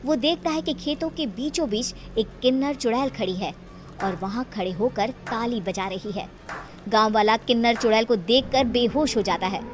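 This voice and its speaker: A woman narrates a scripted bedtime story as the storyteller. Her voice is fairly high. Music plays softly behind her. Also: normal speed.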